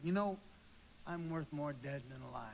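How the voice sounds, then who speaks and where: A man's voice: fairly high pitch, moderate pace, gravelly, a little hiss or hum, low-quality recording. An actor playing a despairing, sardonic family man in a live radio play, wry and weary, sometimes stammering.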